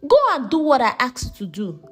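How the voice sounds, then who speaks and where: A woman voicing a cruel, commanding uncle in a dramatized folktale, with a forceful, threatening manner. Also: high voice, big pitch swings, fairly quick, faint music behind. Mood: menacing, commanding. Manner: forceful, domineering, coaxing at times.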